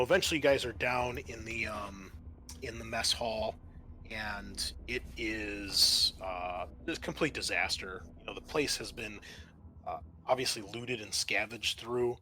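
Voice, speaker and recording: A man narrating a tabletop role-playing game as game master, haltingly, often circling back to rephrase. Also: fairly low pitch, sharp tone. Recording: music behind the voice. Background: music.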